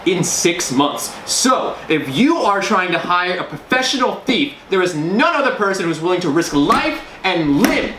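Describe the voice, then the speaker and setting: A man playing a shady professional thief applying for a job, talking low and mock-formal. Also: very animated, normal speed, hardly any pauses. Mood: mock-serious. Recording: roomy with background noise.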